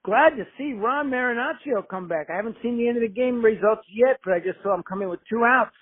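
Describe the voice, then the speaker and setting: A man calls in over a tinny phone line with a high-pitched, husky voice. He leaves a casual fan message. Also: fast talker, no room sound. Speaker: voicemail caller.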